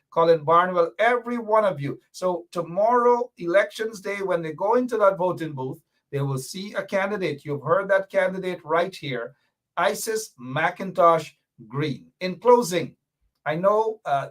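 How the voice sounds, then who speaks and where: A male talk show host wrapping up with a guest, loose and filler-heavy, calling on viewers by name. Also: fairly high pitch. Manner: rambling, lots of fillers, calls out viewers by name. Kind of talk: casual talk show hosting.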